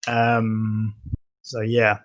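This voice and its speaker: A man's voice: fairly low pitch, monotone, very slow, fairly bright tone. A young man from England, a podcast guest, talking casually and hesitantly with many ums and restarts. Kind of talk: casual conversation.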